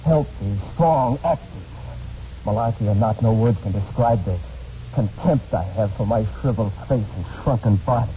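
A man acting in a 1940s radio drama as a bitter, disfigured former athlete who hides from people, brooding and halting. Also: lowish voice, mellow, lightly acted. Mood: anguished, bitter. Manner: brooding, self-pitying, halting. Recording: muffled, noisy 1940s radio recording.

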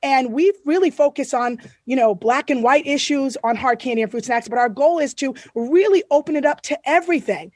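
A woman's voice: sits high, a little fast, few pauses, darkish tone, no echo, clean studio sound. A woman in her thirties co-hosting a podcast interview, earnest and effusive as she welcomes her guests. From Boston area, US. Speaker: podcast co-host.

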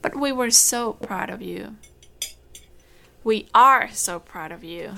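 Woman acting a creaky-voiced, caring farm mother. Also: mid-range voice, lively intonation, slow pace, sharp tone, a little hiss or hum, heavily acted. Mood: proud. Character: caring, strong-minded farm mother, warm family caregiver. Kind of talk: audio drama dialogue.

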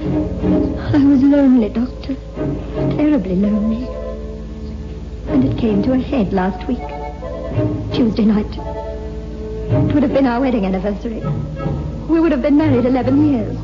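Anguished, high-voiced lonely widow. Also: female voice, unhurried, long pauses. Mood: haunted, sorrowful. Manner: earnest, anguished, dramatic storytelling. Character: grieving, lonely widow haunted by a cursed wishing stone. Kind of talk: 1960s radio horror drama acting. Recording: dull old radio recording with loud music.

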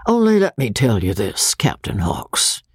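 A woman's voice: a bass voice, wildly swooping pitch, a little slow, slightly rough, dry recording, clean studio sound, exaggerated voice. A woman voicing a stern, threatening male small-town sheriff in an audiobook, with folksy menace. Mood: stern warning.

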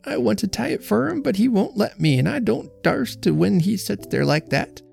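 Man voicing a high, fretful old country wife. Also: lively intonation, normal speed, creaky, darkish tone, faint music behind, full character voice. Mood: distressed. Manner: plaintive, fluttering, folksy. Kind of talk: audiobook character voice.

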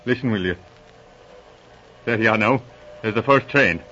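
A man's voice: fairly low pitch, normal speed, pushed and bright. A man playing a folksy, scrappy Irish railroad man with colourful, teasing talk, in 1940s radio drama style. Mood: teasing, watchful. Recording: dull, noisy vintage radio recording.

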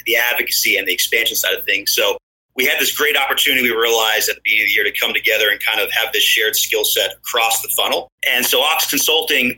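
Bright-voiced man with an American accent. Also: lowish voice, low-quality recording. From born in London, raised in the United States. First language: Danish. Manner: measured, explanatory, occasional fillers. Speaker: guest, marketing consultant. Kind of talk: podcast interview answers.

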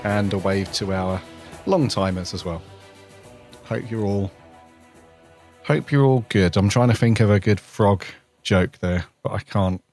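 A man's voice: lowish voice, measured pace, pauses often, darkish tone, faint music behind. A man co-hosting a podcast in casual chat, joking, chuckling and rambling loosely. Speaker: podcast co-host.

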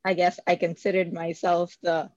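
A woman's voice: on the low side, flat delivery, moderate pace, a little mellow, no echo, dull audio. A woman, talking as an interview guest, hesitant with many fillers and frequent laughs. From Bahamas. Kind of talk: casual interview.

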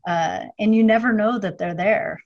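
A woman's voice: mid-range voice, moderate pace. Reflective woman on a dull call line. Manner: reflective, many fillers like "you know". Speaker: podcast guest, entrepreneur. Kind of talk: casual conversation.

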